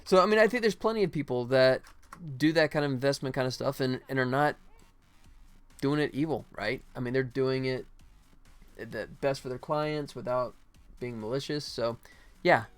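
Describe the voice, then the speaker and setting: A man's voice: mid-range voice, normal speed, smooth. Casual male streamer, music behind. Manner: muttering to himself, then chatting to viewers. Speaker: game developer live streamer. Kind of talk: casual live stream chat while working.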